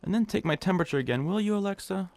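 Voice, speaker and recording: A man's voice: high-pitched, lively intonation, very fast, noisy background. A man in a comic audio drama playing a whiny, meek, hypochondriac office worker who speaks hesitantly. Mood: whiny, sulky.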